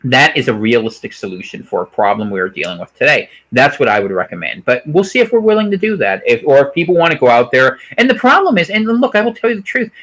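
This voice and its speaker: A man's voice: quick pace, hardly any pauses, rough recording. An American man hosting an unscripted livestream, reading chat comments aloud and giving opinionated, digressive commentary. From United States. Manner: animated, opinionated, digressive, pitch jumps up sharply on emphatic points.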